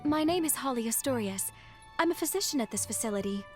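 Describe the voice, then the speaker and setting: An actress playing an excitable, gushing young female doctor, warm and eager, in a video game cutscene. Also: on the high side, fast talker, music in the background, natural voice. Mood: gushing, friendly. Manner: gushing, effusive, apologetic. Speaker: physician.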